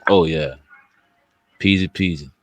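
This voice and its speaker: A young man with a deep voice, a rapper talking as a podcast guest in a casual, rambling, laid-back way. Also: unhurried, dry recording, faint music behind. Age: young adult.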